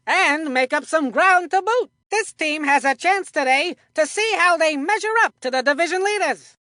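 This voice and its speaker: A folksy kid color commentator for a cartoon baseball video game. The voice is extremely high and bright, with emphatic, opinionated delivery. It is recorded very dry and close. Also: female voice, no room sound, heavily acted. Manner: folksy, emphatic, addresses a broadcast partner.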